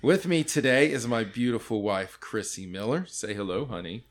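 A man's voice: on the low side, normal speed. A man in his forties hosting a casual podcast, joking loosely and laughing often as he leads the talk. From California. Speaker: podcast host.

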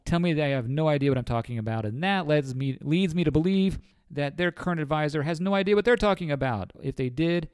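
A man's voice: a little high, quick pace, few pauses, darkish tone, smooth, no room sound, studio quality. A man in his forties with a cold, a financial advisor explaining retirement savings on a podcast in a folksy way, often calling listeners folks. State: has a cold.

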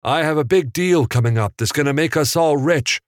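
A man's voice: medium pitch, lively intonation, brisk, no room sound, clean studio sound, pitched above the performer's own voice, close to an everyday voice. A man voicing an eager, pushy young actor reading lines off camera.

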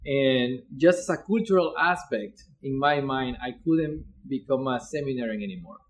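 A man's voice: medium pitch, moderate pace. A young man from Ecuador with an Ecuadorian Spanish accent, a seminarian earnestly telling his vocation story with fillers and repeated words.

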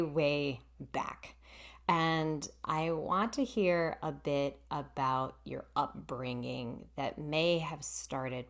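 Give me a woman podcast host with a very low voice, speaking slowly and enthusiastically. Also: gravelly. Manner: warm, earnest and enthusiastic. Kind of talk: podcast intro and interview.

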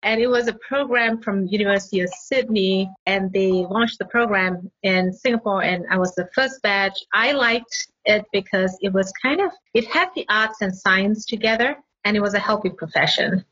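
A woman's voice: medium pitch, normal speed, fairly bright tone, husky, dull audio. A woman in her forties from Malaysia, a pediatric occupational therapist, telling her story in a friendly way as a guest. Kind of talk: casual interview.

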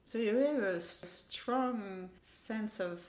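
A woman's voice: mid-range voice, slow pace, a little mellow, slight background noise. A woman interviewing someone in a conversational way, posing questions and reflecting on the answers. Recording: echoey room.

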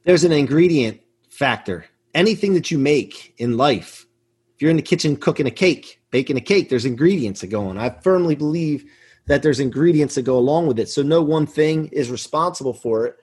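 A man in his forties speaks as a podcast guest with real conviction. His voice is rough-edged and sits a little higher than average. He is self-assured and earnest, stressing his points as he tells motivational business stories. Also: animated, fairly quick, a little mellow. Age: 40s. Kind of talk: motivational interview talk, anecdotes.

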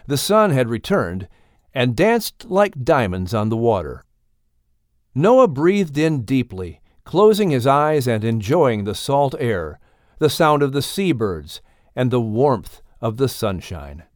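A man's voice: medium pitch, sing-song, slow pace, no room sound, studio quality. A man doing scripted banter and audiobook narration for a children's story podcast. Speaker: narrator and sidekick.

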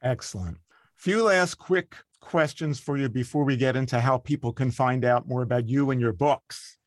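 A friendly man hosts an interview, building long, thoughtful questions and reacting with warm enthusiasm. His voice is recorded close and dry, with no room sound. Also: medium pitch, normal speed, no echo. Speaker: podcast host. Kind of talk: interview hosting.

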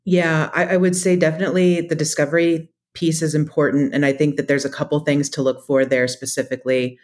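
A woman's voice: rumbling low, a little mellow. A woman speaking as an interview guest, giving conversational, practical advice in a methodical, explanatory way. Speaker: podcast guest, data practitioner.

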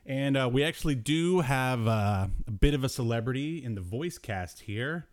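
A man's voice: medium pitch, lively intonation, moderate pace. Guy podcast host just chatting, jokey, kinda exasperated riffs, regular mid-range voice. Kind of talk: casual chat about old cartoons.

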